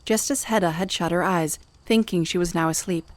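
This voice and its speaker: A woman's voice: fairly low pitch, brisk. A woman narrating a scripted dramatic story in an audio series. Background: music.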